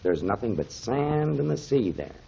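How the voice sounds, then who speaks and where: An actor playing a kindly, avuncular mentor explaining things to children in emphatic 1930s radio style, on a muffled old recording. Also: male voice, medium pitch, very animated, moderate pace, creaky, mellow, slight background noise. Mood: earnest storytelling. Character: kindly grown man, storytelling mentor to children, pipe smoker.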